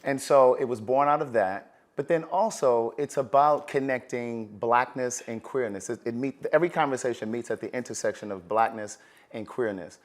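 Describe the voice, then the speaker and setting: A middle-aged man hosting a relaxed conversation, friendly and chatty, often restarting his sentences. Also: mid-range voice, normal speed. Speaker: host. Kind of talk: relaxed interview chat.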